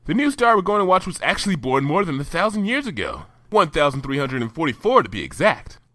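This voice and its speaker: An earnest, bookish unicorn mare, as a man puts her on, raised above his own voice and lively in her rises and falls. She explains everything in a precise, lecturing way, in a bright, dry sound. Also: on the high side, quick pace, no room sound, voice pushed up, heavily acted. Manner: precise, lecturing, enthusiastic about science.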